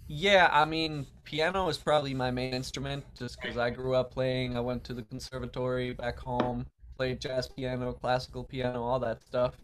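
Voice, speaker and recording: A man's voice: mid-range voice, flat delivery, normal speed, few pauses, background noise. A young man from Vienna, Austria, chatting casually as a guest musician and producer. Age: young adult. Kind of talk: casual podcast chat.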